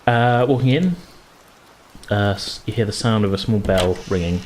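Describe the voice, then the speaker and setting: A man's voice: lowish voice, normal speed, background noise. A man hosting a tabletop gaming podcast as game master, talking in an informal, conversational way. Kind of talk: casual podcast intro, recap and game narration.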